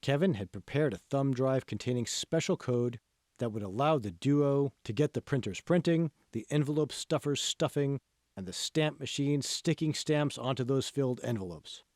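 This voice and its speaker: A man narrates a novel in a scripted audiobook style. His voice sits at a medium pitch, and the recording is dry, with no room sound. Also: a little mellow, no room sound. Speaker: author reading own audiobook.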